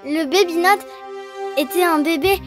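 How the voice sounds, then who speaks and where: A woman's voice: very high-pitched, unhurried. A woman voicing an imaginative little girl who tells tall tales, childlike and exclamatory, in a French children's cartoon dub. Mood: earnest, boastful. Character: imaginative fibbing little girl, space pilot, eager for attention. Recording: loud music behind the voice.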